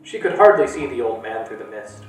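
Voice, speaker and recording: A man from a library reading a storybook aloud in a lively, animated way. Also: medium pitch, fairly quick. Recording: echoey room, distant mic, faint music.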